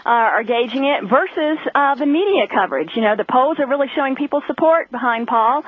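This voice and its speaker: A woman guest on a phone-in radio interview, a little hoarse from a cough, talking earnestly with many fillers. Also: high voice, expressive, fairly quick, no room sound. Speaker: political candidate guest. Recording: tinny phone line.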